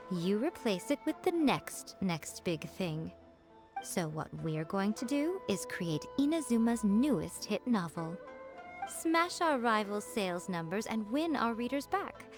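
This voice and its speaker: A poised, teasing woman of mid pitch as a sly fox spirit in an anime game. She sounds self-assured and commanding, over faint background music. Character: sly, self-assured adult female fox-spirit shrine maiden and editor.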